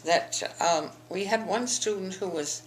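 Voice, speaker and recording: A woman's voice: mid-range voice, measured pace, a little hiss or hum, rough recording. An older woman from the eastern United States, telling her school memories in an oral history interview, rambling with frequent restarts. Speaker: interviewee, alumna.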